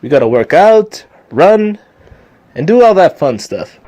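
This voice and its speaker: A man playing a calm, wise Jedi mentor who lectures his students earnestly in an amateur fan skit. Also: fairly high pitch, unhurried. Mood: earnest, instructive. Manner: instructive, earnest, preachy.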